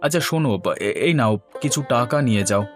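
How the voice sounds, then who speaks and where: A helpful working man in a cartoon tale, acted by a man. He talks like a bossy, generous older brother, with music clearly playing behind him. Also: mid-range voice, fast talker, natural voice. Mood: kindly firm. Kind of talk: Bengali fairy-tale cartoon dubbing.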